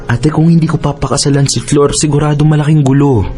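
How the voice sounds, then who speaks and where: A man's voice: on the high side, fairly even intonation, fast, dark tone, lightly acted. A man with a Filipino accent playing a deferential, troubled younger brother in a radio drama, hesitant and pleading. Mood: uneasy, resigned. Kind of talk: Tagalog radio drama acting. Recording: music bed under voice.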